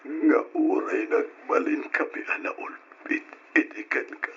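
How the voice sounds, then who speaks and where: An actor playing a sick, remorseful old uncle in a radio drama, with strained, tearful and halting speech. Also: male voice, fairly bright tone, natural voice. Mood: tearful gratitude. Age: older. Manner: slow, tearful, halting delivery. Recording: dull, noisy old radio recording.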